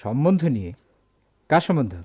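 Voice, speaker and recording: Animated middle-aged shop boss, muffled recording. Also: male voice, medium pitch, a little fast, breathy edge. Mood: eager. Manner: emphatic, theatrical. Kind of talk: Bengali radio drama acting. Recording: dull lo-fi radio drama sound.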